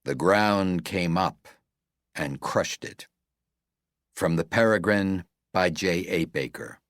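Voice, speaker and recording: A man reading a novel as an audiobook narrator, in a measured, deliberate way with falling phrase endings. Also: sits low, a bit bright, no room sound, studio quality.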